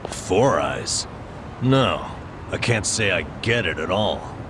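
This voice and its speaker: A man's voice: low-pitched, sing-song, slow, background noise, exaggerated voice. An actor playing a dry, inquisitive, cool-headed man in a video game. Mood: puzzled, dry. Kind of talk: English video game cutscene dub. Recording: music behind the voice.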